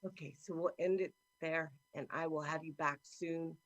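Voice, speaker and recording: A middle-aged woman hosting a talk show over a video call, with a deep, carrying voice, effusive and chatty. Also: steady tone, normal speed, dry recording. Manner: effusive and warm, talks a lot. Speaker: host. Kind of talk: casual chat. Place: indoor room at home.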